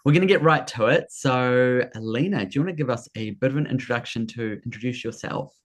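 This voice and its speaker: A man's voice: mid-range voice, quick pace. A man hosting a live online creative business workshop over a video call, upbeat and repeating his welcomes and prompts. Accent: non-American. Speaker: workshop host. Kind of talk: enthusiastic live webinar hosting.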